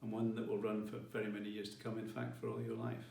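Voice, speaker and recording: A man giving a formal ceremonial address as a college leader, with measured, deliberate phrasing. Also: lowish voice, flat delivery, creaky, warm tone. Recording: roomy, distant mic, faint music behind.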